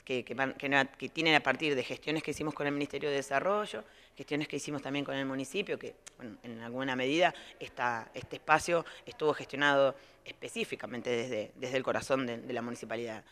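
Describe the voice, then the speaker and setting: Middle-aged Argentine woman, explaining haltingly. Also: rumbling low, a bit bright. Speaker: school director being interviewed. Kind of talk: spontaneous TV interview answers. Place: large indoor playroom. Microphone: handheld reporter microphone.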